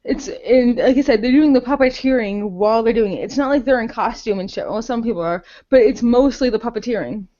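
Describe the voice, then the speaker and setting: Gushing, high-voiced young woman, muffled audio. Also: fairly quick, hardly any pauses, warm tone. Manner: gushing, enthusiastic; sweary, slangy. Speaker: podcast co-host. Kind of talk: casual podcast chat.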